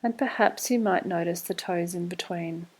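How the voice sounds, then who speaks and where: Lower-voiced woman guiding a meditation. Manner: calm, measured, soothing delivery. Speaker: meditation guide. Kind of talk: guided body scan meditation, scripted instruction.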